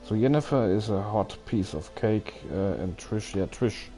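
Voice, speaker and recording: A man's voice: lowish voice, a little slow, dark tone. A man chatting casually as he livestreams a video game, often hesitant and thinking aloud. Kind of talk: casual rambling game stream commentary, chatting with viewers.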